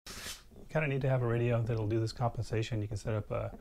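A man giving an informal, hands-on workshop demonstration as an instructor, explaining in a halting way as he works. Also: mid-range voice, steady tone, background noise. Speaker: instructor, model glider expert. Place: small indoor hobby workshop.

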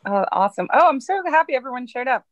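A woman with a high voice chats casually on a video call. Her pitch moves in a lively way, and the sound is fairly dry. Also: fast, no room sound. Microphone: computer on a video call.

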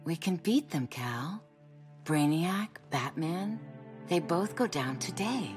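An actress playing a proud, resolute warrior heroine in a video game, stern, formal and commanding. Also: female voice, lowish voice, lively intonation, slow. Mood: urgent. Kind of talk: video game cutscene acting. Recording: music behind the voice.

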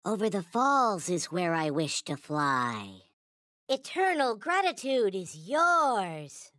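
A man voicing a small, naive, reverent toy space alien in a video game, with a high childlike cartoon voice and solemn, stilted, formal phrasing. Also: sing-song, takes it slowly, pushed and bright, dry recording, exaggerated voice. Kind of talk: video game character voice lines.

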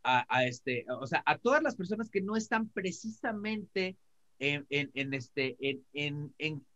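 A man's voice: fairly high pitch, animated, a little slow, fairly bright tone. Dull-sounding Mexican man, casual commentator. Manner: colloquial, hesitant, repeats words. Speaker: co-host commentator. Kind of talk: casual opinion talk. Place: indoors, on a video call. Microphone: video call. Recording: slightly dull video-call audio.

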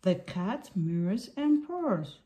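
Slow woman reading a children's picture book. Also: medium pitch, sing-song, mellow.

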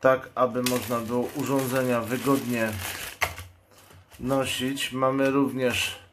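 A man explains a product in an unboxing commentary. He speaks slowly at a medium pitch, in a measured, matter-of-fact manner. There is clear background noise, with clicks and crinkling packaging. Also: little pitch movement, a bit bright. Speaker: product reviewer and channel host. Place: indoors at a table. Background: noise, plastic packaging crinkles and clicks.

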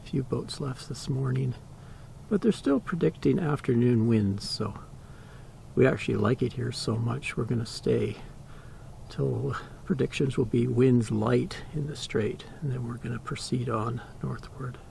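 Man, boating vlogger, very gravelly voice, casual chat, outdoors on a boat, light hiss. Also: medium pitch, a little slow. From Canada. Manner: relaxed, chatty, soft-spoken at times. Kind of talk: casual narration aboard a boat.

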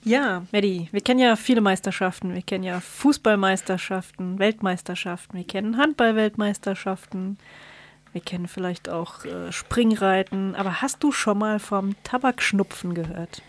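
A woman's voice: mid-range voice, normal speed. Woman explaining in casual podcast chat. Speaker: podcast co-host.

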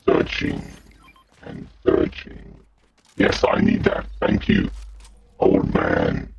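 Demon gamer: bottomless filtered pitch, lurching intonation, guttural, deliberate, bossy game commentary, dull lo-fi sound. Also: male voice, very animated, darkish tone, heavily acted. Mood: calm, musing. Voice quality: guttural, growling, gravelly. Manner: slow, drawn-out, deliberate short phrases. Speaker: gaming channel host. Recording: muffled lo-fi audio, faint noise.

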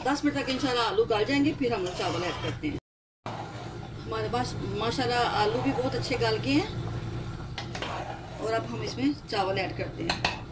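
A woman hosting a home cooking tutorial in her kitchen, talking through each step slowly with frequent hesitations. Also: on the high side, expressive, heavy background noise. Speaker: cooking channel host.

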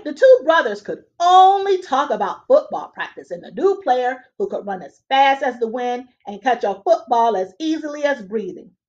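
Young woman reading a kids book on her webcam, high lively voice, muffled sound. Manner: expressive, animated. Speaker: read-aloud reader. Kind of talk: children's storybook read-aloud. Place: room at home, indoors. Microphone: webcam at a desk. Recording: dull lo-fi webcam audio.

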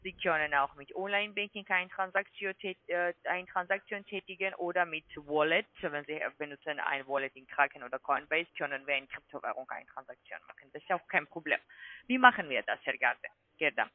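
A woman with a foreign accent in German, a non-native speaker, making an insistent scripted sales pitch as a call-centre agent, with repeated tag questions. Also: fairly quick, no echo. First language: not German. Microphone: phone call. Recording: muffled tinny phone line.

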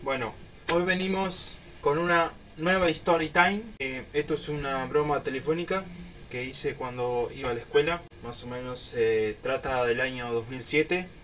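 A young man with a Uruguayan accent, telling a story casually to camera in an informal, halting way. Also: medium pitch, fairly bright tone, recorded from a distance, slight background noise. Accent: Uruguayan Spanish. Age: young adult. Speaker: YouTube storyteller. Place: small room at home.

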